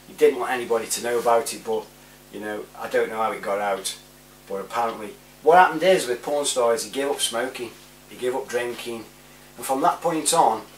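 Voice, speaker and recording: A British man in his forties chatting casually to camera in a laid-back, rambling way, giving shout-outs. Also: mid-range voice. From United Kingdom. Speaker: vlogger. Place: small room indoors at home. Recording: roomy, distant mic with faint hum.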